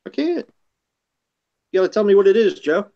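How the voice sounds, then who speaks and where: A man's voice: sits high, sing-song, normal speed, vocal fry, warm tone, dry recording, lo-fi sound. A man in a casual group chat who is eager and pushes his friends for answers.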